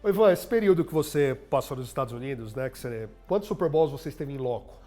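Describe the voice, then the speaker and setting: A man's voice: mid-range voice, animated, fast, mellow, faint music behind. A Brazilian man hosting a casual sports chat and interviewing a guest. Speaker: interviewer, show host.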